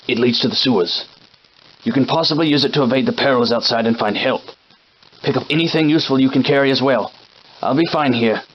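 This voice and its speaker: An actor playing a genteel, verbose shopkeeper with a villain's streak, in formal, archaic, theatrical game dialogue. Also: male voice, medium pitch, highs cut off, a little hiss or hum, lightly acted. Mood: earnest, courteous. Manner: formal, archaic, florid wording. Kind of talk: theatrical period game acting.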